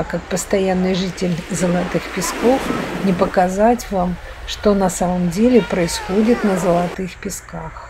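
Earnest woman over crashing waves. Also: mid-range voice. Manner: earnest, explaining, a little indignant. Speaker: local resident vlogger. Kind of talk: casual vlog narration. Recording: loud surf noise.

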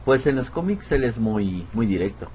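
A man's voice: medium pitch, sing-song, normal speed, a little mellow, a little hiss or hum. A man giving casual let's play commentary, musing aloud in a chatty, self-deprecating way. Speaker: gameplay streamer.

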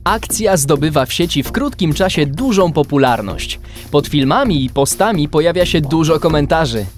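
A man's voice: fairly high pitch, lively intonation, normal speed, faint music behind, natural voice. A man narrating a children's adventure in a scripted audio drama. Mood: storytelling. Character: storyteller of a kids' adventure.

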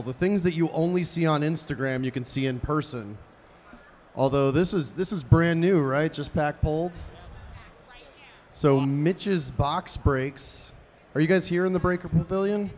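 A man's voice: a little high, slight background noise. Upbeat man hosting a convention stage. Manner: upbeat, chatty, addressing the crowd. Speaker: stage host. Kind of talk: live event hosting. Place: large indoor convention hall stage. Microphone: handheld mic.

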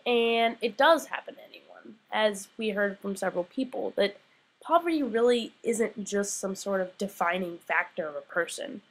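A woman's voice: medium pitch, moderate pace, poor audio. A young woman reading an earnest, questioning documentary voice-over as a student filmmaker. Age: young adult. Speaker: student narrator.

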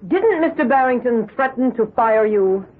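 On a muffled 1970s radio recording, a sceptical female prosecutor. Her husky voice swings widely as she presses every question with pointed insistence. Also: a little high, lively intonation, normal speed. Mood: pressing, accusing. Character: stern, sceptical female prosecutor cross-examining a witness. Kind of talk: 1970s radio drama acting.

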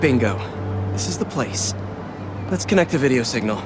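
A man's voice: mid-range voice, animated, moderate pace, lightly acted. A man with an American accent playing an upbeat, chatty young superhero who talks to himself in a video game. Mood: focused, curious. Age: young adult. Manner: chatty, playful self-narration. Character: upbeat young male superhero, friendly and quippy. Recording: loud music and traffic behind the voice. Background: music, city vehicle sounds.

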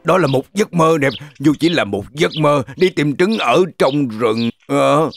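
A man's voice: fairly high pitch, expressive, measured pace, soft background music. A man voicing a greedy, grumbling wolf in a children's cartoon, drawing out his words. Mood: grumbling, reluctant.

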